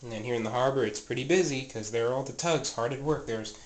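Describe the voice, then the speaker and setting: A man's voice: mid-range voice, quick pace. Stuffy-nosed man touring his toy trains. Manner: rambling, many fillers and self-corrections. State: stuffy and scratchy-voiced. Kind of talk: casual show-and-tell narration. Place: home train room, indoors. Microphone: handheld camera mic, filming close.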